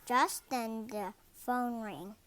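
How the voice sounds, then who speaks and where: Very slow, bright young storybook reader. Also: mid-range voice. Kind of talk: read-aloud children's story narration.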